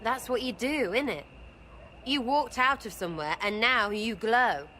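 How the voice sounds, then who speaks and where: A woman's voice: a little high, expressive, unhurried, sharp tone, slight background noise, rough recording. A woman voicing a cheeky, irreverent young thief archer in a video game cutscene, brash and crude in her speech. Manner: brash, mocking, slangy and crude.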